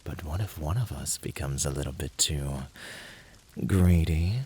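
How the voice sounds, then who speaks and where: A man performs a fiery, flirtatious incubus in an ASMR roleplay. The voice is low and dark, with a husky breathiness. He is playful and passionately devoted, drawing out his teasing, sultry lines and often dropping into whispers. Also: measured pace, full character voice.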